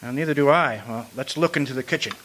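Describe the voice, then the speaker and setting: A man's voice: medium pitch, fast talker, lightly acted. A man playing a folksy homicide sergeant in an amateur radio mystery, matter-of-fact and musing with hems and haws. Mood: probing, musing. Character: brisk, folksy male police homicide sergeant in charge. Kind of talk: amateur old-time radio detective acting. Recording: light hiss and background noise.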